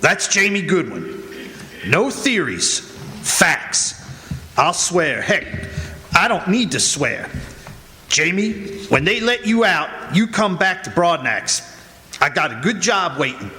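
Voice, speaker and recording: A man's voice: sits high, sing-song, slow, pushed and bright, slight background noise, full character voice. An actor playing a blunt, impatient small-town man with clipped, folksy speech in a 1940s-style radio drama. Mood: decisive. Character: blunt, impatient small-town planter who trusts facts. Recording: live stage, long hall reverb.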